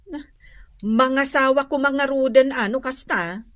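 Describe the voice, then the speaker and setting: A woman's voice: high voice, unhurried, fairly bright tone, no room sound, clean studio sound. An actress playing a nagging mother after a relative's money in a radio comedy drama, with an Ilocano accent. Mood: complaining.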